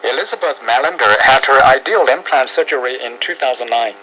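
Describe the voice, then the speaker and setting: A man's voice: medium pitch, fairly quick. A man reading scripted news narration as a radio reporter. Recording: muffled shortwave radio, steady hiss. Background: radio static and hum.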